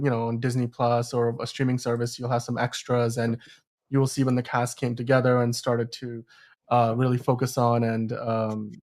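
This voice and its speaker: A man with an average-pitched voice hosts a casual film news discussion. He explains things conversationally, pausing mid-sentence as he finds his words. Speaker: podcast host.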